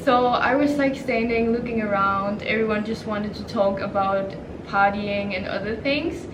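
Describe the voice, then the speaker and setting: A woman's voice: medium pitch, normal speed. A woman in her twenties from Denmark, chatting casually to the camera and telling a story with giggles and lots of "like" fillers. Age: 20s. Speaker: vlogger. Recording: echoey, distant mic, noisy background.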